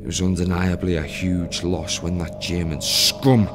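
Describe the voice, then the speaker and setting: A bitter, brooding dead crewman speaks slowly in a lowish voice, a husband and father grieving what he lost. Every line comes unhurried and heavy as the radio drama lets it sink in. Music plays clearly beneath his words. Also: little pitch movement. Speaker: actor in an audio play monologue. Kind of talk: scripted dramatic monologue.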